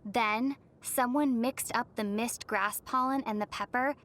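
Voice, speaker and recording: A woman playing a gentle, thoughtful young female traveler from another world in scripted anime game dialogue. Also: fairly high pitch, fairly even intonation, measured pace.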